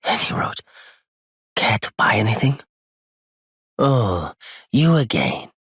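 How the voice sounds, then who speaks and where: An actor playing a wary, proud nomadic merchant in a video game, with a husky, gravelly voice and a weighty, theatrical delivery. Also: male voice, on the low side, sing-song, takes it slowly, a bit bright, dry recording, studio quality, full character voice. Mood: wary, mild. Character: wary, courteous nomadic male merchant turned bitter and vengeful. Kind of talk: scripted game character lines.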